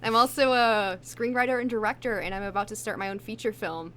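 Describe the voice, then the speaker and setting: Mid-pitched woman chatting over background noise. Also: steady tone, a little fast, sharp tone. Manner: informal, conversational. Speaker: actress being interviewed. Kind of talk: casual interview chat.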